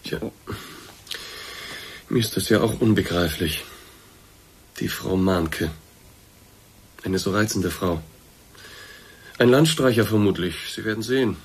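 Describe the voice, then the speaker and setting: An actor playing a courteous, awkward middle-aged boss in a radio play, speaking in a formal, hesitant, roundabout way with a slightly husky voice. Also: male voice, sits low, takes it slowly, long pauses, exaggerated voice. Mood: musing, formal. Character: courteous, awkward male boss, discreetly breaking bad news. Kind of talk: German radio drama acting, naturalistic dialogue.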